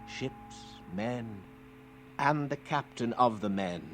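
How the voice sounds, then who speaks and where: An actor playing an awed, haunted young spacefarer, narrating poetically and solemnly in a 1960s radio drama. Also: male voice, medium pitch, slow, a bit bright, faint music behind. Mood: desolate wonder. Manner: theatrical, solemn, incantatory. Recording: noisy 1960s radio recording.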